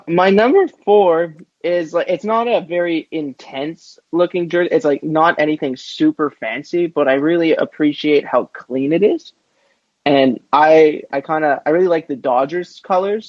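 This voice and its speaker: A man with a fairly high voice co-hosts a casual sports chat. He speaks informally and hedges his opinions. The recording is dry and a little muffled. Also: a little mellow, no room sound. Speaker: podcast co-host. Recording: slightly muffled, lo-fi microphone.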